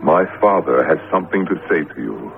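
A very deep, solemn entranced son. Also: male voice, animated, fairly quick, gravelly, full character voice. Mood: solemn, reverent. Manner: solemn, ceremonial. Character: grave, entranced man serving his royal Egyptian father. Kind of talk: old radio drama acting. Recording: tinny, noisy vintage radio broadcast.

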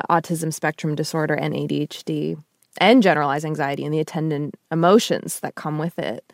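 A woman hosts a podcast interview in a slightly low voice. Her phrasing is thoughtful and measured, moving between conversation and narration. The sound is dry, with very little room in it. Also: no room sound.

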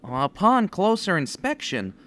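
Male actor as touchy, sardonic wisecracker for period radio play, wildly swooping intonation, bright edgy voice. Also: high-pitched, very animated, measured pace, a little hiss or hum, full character voice. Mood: casual, wry. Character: wary, wisecracking Italian-American man, quick to bristle. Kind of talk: 1930s radio drama acting. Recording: music bed under the voice.

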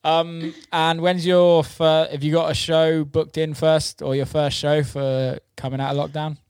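A man's voice: on the high side, flat delivery, unhurried, darkish tone, clear, resonant tone, no room sound. A man interviewing someone in a casual chat, enthusiastic, informal and sweary. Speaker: interviewer, DJ and label owner.

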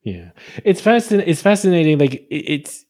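A man's voice: on the high side, sing-song, normal speed, darkish tone. Rambling male podcast host. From Poland. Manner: enthusiastic, rambling, many restarts. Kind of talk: conversational interview.

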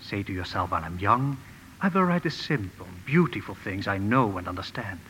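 An actor playing a kindly, earnest professor in a 1950s radio drama, speaking gently and formally with deliberate phrasing. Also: male voice, lowish voice, expressive, slight background noise. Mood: tender, fervent. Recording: old radio broadcast, reverberant, music behind.

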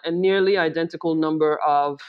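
A woman's voice: sits low, fairly even intonation, brisk. Thoughtful woman on dull lo-fi audio. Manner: thoughtful, self-correcting phrasing. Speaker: former startup executive. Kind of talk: interview answers.

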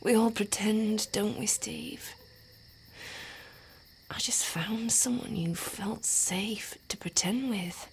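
A woman in an audio drama speaking in a soft, intimate whisper. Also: medium pitch, slow, slight background noise. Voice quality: breathy.

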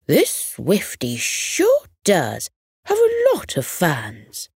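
A woman acts out a kindly old grandad animal from a bedtime storybook. He speaks slowly and rather low, in a folksy, genial way. He is easygoing, and the sound is dry and close. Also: huge pitch swings, darkish tone, breathy edge, no echo, heavily acted.

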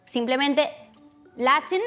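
Young woman host, bubbly, laughs easily, fairly high pitch. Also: slow, pushed and bright, faint music behind. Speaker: podcast host. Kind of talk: family podcast hosting.

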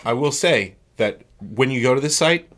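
A man's voice: mid-range voice, animated, normal speed, fairly bright tone. A man co-hosting a casual podcast, rambling and full of restarts as he reads sponsors and chats with a guest. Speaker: podcast co-host. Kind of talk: casual chat.

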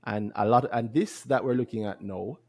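A man co-hosting a podcast, talking about stocks in a lively, playful, self-mocking way. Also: lowish voice, normal speed, no room sound. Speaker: podcast co-host. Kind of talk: casual chat about stocks.

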